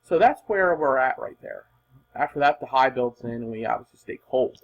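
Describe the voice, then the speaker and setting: A man giving informal, unscripted weather forecast commentary in an emphatic, husky voice, repeating points for stress. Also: mid-range voice, expressive, moderate pace, highs cut off.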